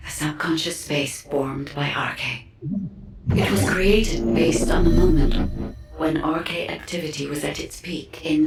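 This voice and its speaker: An actress playing a solemn, purposeful woman guide in a video game, speaking calmly and deliberately. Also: low voice, creaky. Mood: hushed, intent. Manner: calm, deliberate, serious. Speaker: game character. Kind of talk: scripted video game dialogue. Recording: distant, echoey game audio.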